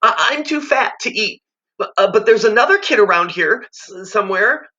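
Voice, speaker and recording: A man voicing a fat, terrified boy who sobs and begs in a high, tearful, pleading voice. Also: lively intonation, moderate pace, bright, forceful tone. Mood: sobbing, begging. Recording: dull lo-fi recording.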